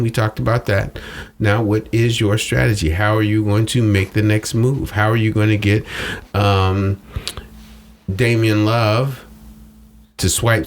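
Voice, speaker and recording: A man talking casually as a strategist guest in an interview, explaining in colloquial phrases and coaching with rhetorical questions. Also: lowish voice. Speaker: social media strategist guest. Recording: noticeable background noise.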